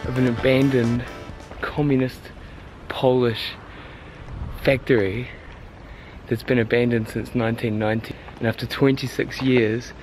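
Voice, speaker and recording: A man's voice: medium pitch, little pitch movement, measured pace, a little mellow, faint music behind, rough recording. A man, talking casually and enthusiastically to a handheld camera as a travel photography vlogger. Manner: enthusiastic, informal. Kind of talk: casual vlog narration to camera. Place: outdoors and inside large abandoned factory buildings. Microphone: handheld camera at arm's length.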